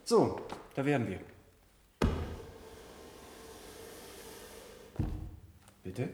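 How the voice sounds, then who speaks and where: An actor playing a polite, composed clinic doctor in a science-fiction radio play, giving courteous instructions. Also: male voice, medium pitch, sing-song, normal speed, dark tone, a little hiss or hum, lightly acted.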